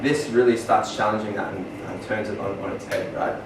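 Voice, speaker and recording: A man's voice: fairly low pitch, fairly even intonation, a little fast. A man with an Australian accent giving an earnest public presentation, with many ums and restarts. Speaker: speaker. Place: small venue, far from the mic. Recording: echoey room from far off, noisy.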